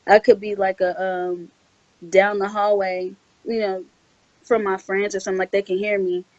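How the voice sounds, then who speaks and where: Young southern woman rambling, like like like, flat dull dry sound. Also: medium pitch, normal speed, dry recording. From Southern United States. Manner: rambling, says "like" a lot, sometimes loud, sometimes monotone. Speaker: guest voice actress. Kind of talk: casual interview answers.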